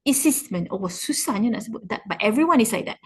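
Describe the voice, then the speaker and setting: A woman's voice: on the high side, expressive, quick pace, breathy edge. A woman English teacher with a Malaysian accent, explaining informally and encouragingly, switching freely between English and Malay and repeating words for emphasis. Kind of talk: informal online class, explaining.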